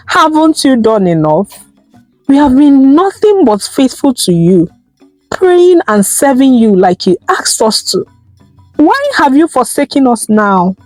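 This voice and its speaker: A woman's voice: a little high, a little mellow, no echo, voice pushed up, full character voice, low-quality recording. A woman with a Nigerian accent voicing an anguished, faithful husband who pleads in lament in a folktale.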